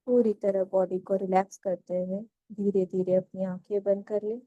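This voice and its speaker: Mellow, even-toned woman guiding meditation. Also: mid-range voice, dry recording, muffled recording. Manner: calm, unhurried, soothing. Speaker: guided meditation instructor. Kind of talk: slow guided meditation instruction.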